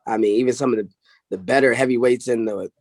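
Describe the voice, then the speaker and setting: A man's voice: mid-range voice, fast, a little mellow, dry recording. Laid-back young guy on a webcam call, dry close sound, keeps saying oh yeah. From Illinois. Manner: easygoing, repeats "I'll say" and "oh, yeah". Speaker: wrestling head coach, guest. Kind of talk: casual video call interview. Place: small indoor room. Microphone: video call webcam.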